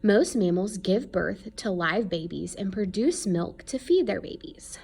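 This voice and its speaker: A woman's voice: medium pitch, lively intonation, a little slow, gravelly, a little mellow, slight background noise. A woman reading an educational children's book aloud in an explanatory way, stressing key words. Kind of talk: read-aloud educational narration.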